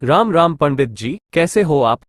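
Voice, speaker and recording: A man's voice: on the high side, lively intonation, a little slow, no echo, studio quality. A man acting village men in a Hindi cartoon moral story. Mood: coaxing. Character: gullible forgetful village priest and scheming greedy merchant.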